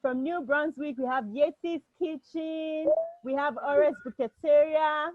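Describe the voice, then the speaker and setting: A fairly high-voiced Nigerian woman hosts a live online contest from home, chatty and full of restarts. Her audio comes through a video call, a little dull and dry with no room sound. She is a Yoruba speaker in her thirties. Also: fairly even intonation, unhurried, dry recording. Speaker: host. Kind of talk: hosting an online cooking contest.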